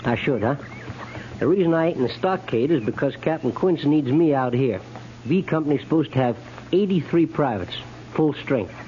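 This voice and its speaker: An actor in a 1950s radio western playing a brash, griping cavalry private with a sardonic, folksy, ungrammatical drawl. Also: male voice, a little high, animated, moderate pace, mellow, lightly acted. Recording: muffled, noisy 1950s radio recording.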